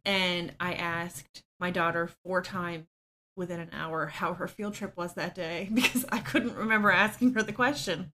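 Candid woman with a bright voice. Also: lowish voice, fairly even intonation, normal speed, slight background noise. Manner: open and self-deprecating, laughs easily, frequent ums. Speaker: podcast guest. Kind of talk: candid personal storytelling.

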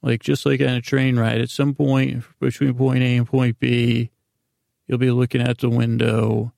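A man hosting a bedtime podcast in a meandering, halting monologue full of tangents, with a gravelly, creaky voice. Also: mid-range voice, little pitch movement, darkish tone, no room sound, clean studio sound. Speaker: podcast host.

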